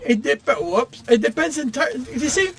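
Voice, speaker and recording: A man chatting casually while playing a game, explaining his thoughts in a halting, rambling way. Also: extremely high pitch, expressive, noisy background. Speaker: game streamer. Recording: game music faintly behind the voice. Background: faint video game music.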